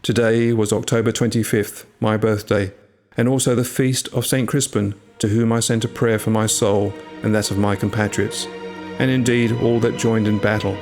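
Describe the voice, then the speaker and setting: Low-pitched man narrating a story over music. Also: little pitch movement, normal speed. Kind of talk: scripted first-person story narration.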